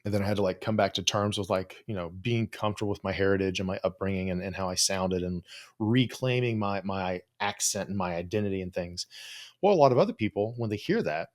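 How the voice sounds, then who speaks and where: A man giving informal solo advice as a coach, talking in a blunt, no-nonsense way with plenty of casual swearing. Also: fairly low pitch. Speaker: host, psychologist and business coach for creators.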